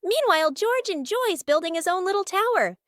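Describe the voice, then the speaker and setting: A woman reading a scripted children's story as narrator, with expressive storybook delivery. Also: extremely high pitch, a little fast, no echo, studio quality.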